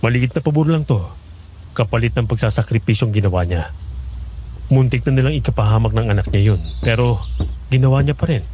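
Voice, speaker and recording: A man's voice: medium pitch, a little fast, pauses often, mellow, a little hiss or hum, natural voice. A man playing an earnest, kind young farmer in a horror audio drama, talking in a friendly, loyal way.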